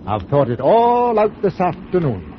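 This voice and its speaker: Stubborn old sea captain, animated delivery. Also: male voice, high voice, full character voice. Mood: stubborn, firm. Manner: blunt, deliberate, taciturn. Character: stubborn, literal-minded old sea captain, gruffly plain-spoken. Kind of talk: 1940s radio drama acting. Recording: noisy, muffled 1940s radio recording.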